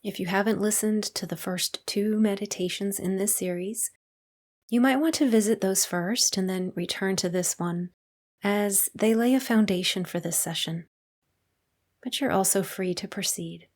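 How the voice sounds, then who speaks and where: A woman leading a guided meditation as a teacher, speaking in a calm, unhurried, soothing way with long pauses. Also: medium pitch.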